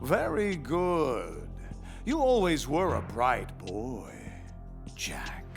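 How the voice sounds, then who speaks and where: An actor playing a condescending, taunting rebel leader as a theatrical video game villain. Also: male voice, medium pitch, wildly swooping pitch, extremely slow, vocal fry, music in the background, exaggerated voice. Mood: smug, taunting.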